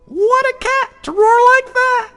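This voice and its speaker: A flustered storybook eagle, screeching at an extremely high pitch. It squalls loudly and shrilly, like a cartoon bird in alarm. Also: male voice, a little slow, soft background music, full character voice.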